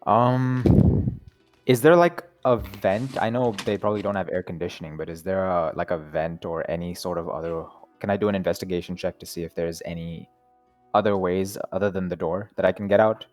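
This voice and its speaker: Chill guy playing a tabletop game, lowish voice, laughs a lot, music faint behind. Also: brisk, darkish tone. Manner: laid-back, chatty, laughs often. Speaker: player. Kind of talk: casual tabletop game play.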